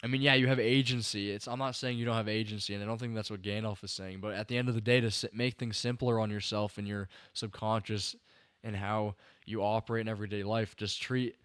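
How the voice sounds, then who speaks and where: A man's voice: fairly low pitch, little pitch movement, fast, a bit bright, clean tone, no echo. A young man hosting a livestream, rambling through a film breakdown with restarts while answering chat, and slightly tired. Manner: rambling, restarts sentences, talks to chat viewers. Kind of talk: casual enthusiastic film commentary to chat.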